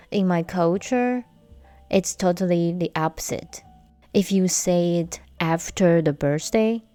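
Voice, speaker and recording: A young woman hosts her own podcast, telling personal stories with gentle humor. She talks at an unhurried, deliberate pace in a slightly low voice. The sound is dry, with faint music underneath. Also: clean tone, no echo. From Taiwan. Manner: slow, measured storytelling with light humor. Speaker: podcast host. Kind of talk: casual solo podcast chat.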